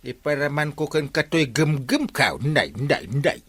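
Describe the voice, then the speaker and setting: A man's voice: on the high side, animated, measured pace, a bit bright, slightly rough, no echo, exaggerated voice. A man with an Ilocano accent playing a flirtatious, blustering comic village captain in a radio drama, exclaiming and stammering theatrically. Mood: defiant, blustering.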